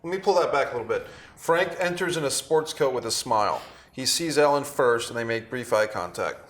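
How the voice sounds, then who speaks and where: A man's voice: medium pitch, lively intonation, brisk, a bit bright. Man directing actors at a table read. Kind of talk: reading stage directions aloud and coaching actors.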